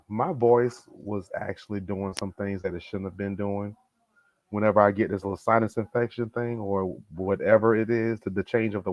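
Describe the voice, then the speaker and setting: A man co-hosting a podcast, slightly hoarse from a sinus infection, telling stories with acted-out quotes. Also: lowish voice, no room sound, muffled recording. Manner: animated storyteller, acts out quotes. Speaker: podcast co-host. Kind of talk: casual podcast chat.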